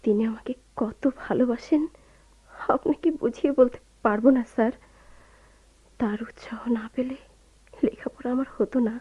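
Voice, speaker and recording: A woman's voice: fairly high pitch, steady tone, moderate pace, pauses often, breathy edge. A woman acting an anguished young wife in a radio play, pleading and deferential with a tremulous delivery, on a lo-fi recording. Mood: sorrowful. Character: anguished young wife clinging to her missing husband. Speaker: radio drama actress. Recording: dull lo-fi radio drama recording.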